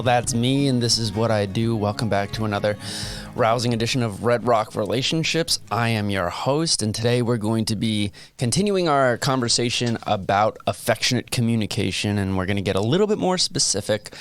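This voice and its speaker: A man in his thirties hosting a podcast interview, relaxed and joking, with plenty of ums. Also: fairly low pitch. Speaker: podcast host, academic.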